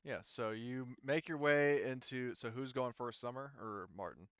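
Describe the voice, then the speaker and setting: A man narrating a tabletop game as game master, speaking casually and haltingly with the odd swear word. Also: medium pitch, fairly bright tone, clear, resonant tone, no room sound, muffled recording. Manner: halting, casual, mildly profane.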